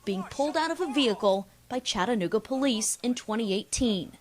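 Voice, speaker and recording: A woman's voice: on the high side, expressive, a little fast, a little hiss or hum. A woman working as a TV news reporter, reading scripted narration for a report. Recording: music behind the voice. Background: music bed.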